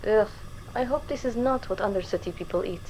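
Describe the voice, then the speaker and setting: A woman playing a fastidious, exasperated investigator in a narrative audio drama, giving orders and musing aloud to herself. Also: mid-range voice, darkish tone, slight background noise, lightly acted, rough recording.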